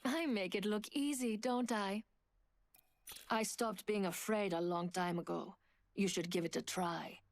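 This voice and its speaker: An actress playing a poised, confident, seductive thief in a video game, with cool, teasing delivery. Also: female voice, medium pitch, steady tone, a little slow, natural voice. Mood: teasing confidence. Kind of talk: video game character voice lines.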